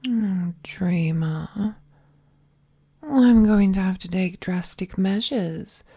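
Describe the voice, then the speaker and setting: A woman playing an ancient, all-powerful female captor, possessive and lovesick, in an intimate villainous audio roleplay with a hushed, menacingly tender delivery. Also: medium pitch, slow, slightly rough. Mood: ominous, regretful. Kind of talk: scripted audio roleplay, intimate dramatic monologue.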